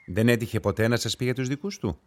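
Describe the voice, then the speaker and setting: An actor playing a methodical police investigator in a radio drama, asking questions in a formal, probing way. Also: male voice, mid-range voice, natural voice.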